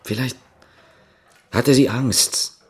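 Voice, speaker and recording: A man's voice: mid-range voice, drawn-out words, husky, full character voice. A man acting as a guarded, weary East German policeman in a German radio drama, speaking tersely. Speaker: actor.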